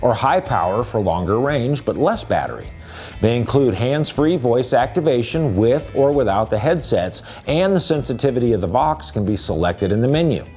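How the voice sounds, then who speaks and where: A man's voice: mid-range voice, darkish tone. Man narrating product review over music.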